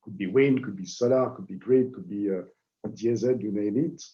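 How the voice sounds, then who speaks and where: French man presenting over a call; low-pitched, dark tone, halting delivery with many fillers, muffled audio. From France. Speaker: guest presenter. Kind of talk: webinar presentation. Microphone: video call. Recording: dull lo-fi online call audio.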